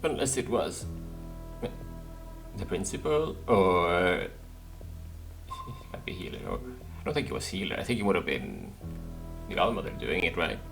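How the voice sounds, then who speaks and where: A man's voice: low-pitched, very animated, normal speed, vocal fry, long pauses, over music. A man commentating casually on a game as he plays, thinking aloud and repeating words to himself. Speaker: let's player.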